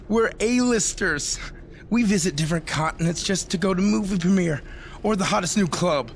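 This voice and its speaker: A man voicing a vain, boastful wrestling heel, trash-talking with mocking, drawn-out emphasis in a video game scene. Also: high voice, gravelly, a little hiss or hum.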